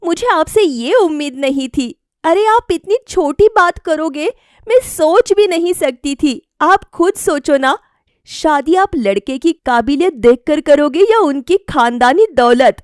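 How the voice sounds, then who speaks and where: A spirited, headstrong young college woman from a cartoon tale, voiced by a female storyteller. She speaks very high and expressively, with an insistent edge. The recording is dry. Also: animated, measured pace, no echo. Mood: upset pleading. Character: lively, carefree, stubborn young college woman.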